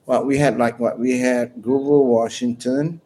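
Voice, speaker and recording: Man, mellow, warm voice; relaxed, rambling anecdotal guest. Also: mid-range voice, unhurried, low-quality recording. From Malaysia. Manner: rambling, anecdotal storyteller with chuckles. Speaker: guest musician. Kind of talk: casual interview chat.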